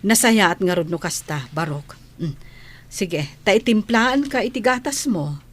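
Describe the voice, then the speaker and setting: An actress playing a devoted, fond nanny with an Ilocano accent in a radio drama. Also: female voice, mid-range voice, animated, measured pace.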